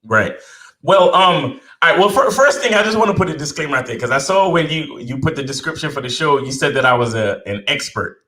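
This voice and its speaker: A middle-aged man, born in Jamaica and raised in Brooklyn, talking as a guest in a relaxed, humble way with many fillers and restarts. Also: on the high side, brisk, echoey room, low-quality recording. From born in Jamaica, raised in Brooklyn, New York. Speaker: podcast guest, dating coach. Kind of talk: casual interview chat.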